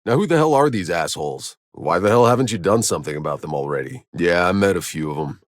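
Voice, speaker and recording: A man voicing a gruff, sardonic, battle-hardened soldier hero in a video game, with a terse, dry delivery. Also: deep voice, lively intonation, fast talker, dry recording. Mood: sardonic, brisk. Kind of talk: video game character voice lines.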